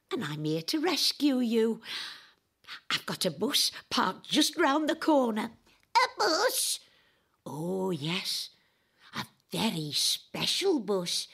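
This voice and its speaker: An older woman playing a flamboyant, boozy, eccentric time-travelling adventuress in a dramatic audio monologue, confiding in a drawl with a husky, breathy voice. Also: medium pitch, fairly bright tone, heavily acted.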